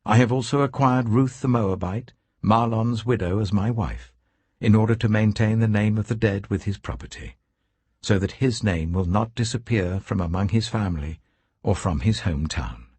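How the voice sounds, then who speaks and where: A British man voicing an earnest, authoritative landowner in a scripture reading, declamatory and deliberate. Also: fairly low pitch, a little mellow, husky, no room sound, voice pushed up. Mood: proclaiming.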